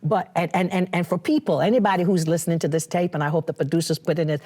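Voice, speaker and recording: Low-voiced woman storyteller. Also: fairly even intonation, quick pace, warm tone, husky. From Barbados. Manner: emphatic, rhetorical asides and tag questions. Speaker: guest storyteller. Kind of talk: casual conversation.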